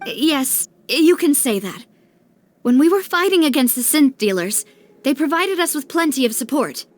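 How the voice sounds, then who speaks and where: A woman's voice: high-pitched, expressive, moderate pace, slight background noise. A woman playing a composed, earnest young crime family heiress in a video game cutscene, polite and pensive in her delivery. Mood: troubled, reflective. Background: background music.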